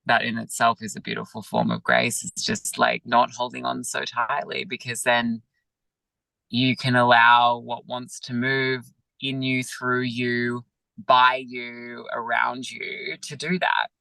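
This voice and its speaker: Gravelly bright-voiced man, gently reflective. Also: medium pitch, little pitch movement, unhurried, no room sound, rough recording. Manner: gentle, searching, many fillers. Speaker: yoga teacher, guest. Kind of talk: reflective interview talk.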